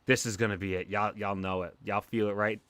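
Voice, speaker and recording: A young man with a New York accent, chatting excitably on a livestream while opening comic packs, with a cartoonish way of talking. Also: lowish voice, a little fast. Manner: excitable, animated, talks like a cartoon character. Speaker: comic collector streamer. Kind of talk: casual livestream chat.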